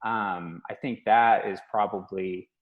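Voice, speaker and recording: A man thoughtfully telling about his experiences abroad over a video call, measured and reflective. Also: lowish voice, fairly even intonation, narrow, tinny audio. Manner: thoughtful, measured, some restarts. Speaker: workshop participant. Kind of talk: reflective personal story.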